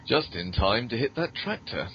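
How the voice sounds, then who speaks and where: A man playing a formal, deferential, deadpan butler with a shady streak in a scripted comedy podcast. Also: normal speed. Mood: calm, dry. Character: deadpan, dutiful, quietly shady male butler. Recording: muffled, noisy low-quality podcast audio.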